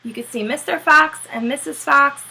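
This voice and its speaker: A woman reading a children's book aloud as a teacher, expressive and emphatic, with brief asides to listeners. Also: high voice, moderate pace, creaky, low-quality recording.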